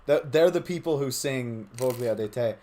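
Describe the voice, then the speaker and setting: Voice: mid-range voice, mellow. A streamer chatting casually and joking between reads. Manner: chatty, swears, quick asides. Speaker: streamer co-reader.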